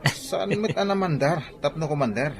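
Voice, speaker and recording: A man acting a brisk, teasing, secretive buddy in a radio drama, with an Ilocano accent. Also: on the high side, lively intonation, vocal fry, slight background noise. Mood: teasing, hushed.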